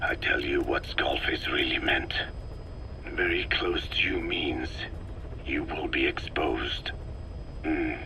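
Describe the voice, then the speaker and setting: An actor playing a grave, suspicious man in a video game, speaking in a breathy, ominous whisper and pressing his warnings. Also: a bass voice, pushed and bright, a little hiss or hum, heavily acted. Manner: slow, ominous, insistent questioning. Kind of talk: dramatic video game voice acting.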